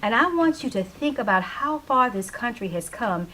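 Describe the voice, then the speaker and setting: A woman's voice: on the high side, lively intonation, moderate pace, pushed and bright. A woman voicing an opinionated small-town radio DJ of the 1970s, speaking in an emphatic, preachy way in a stage play reading. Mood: preachy, fervent.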